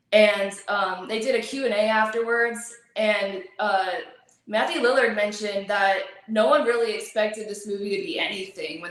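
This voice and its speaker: A woman guest talking casually in an interview, talkative and full of fillers, her voice going hoarse. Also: mid-range voice, fairly bright tone. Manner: talkative, filler-heavy, laughs easily. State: losing her voice. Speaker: actress guest. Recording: very echoey room.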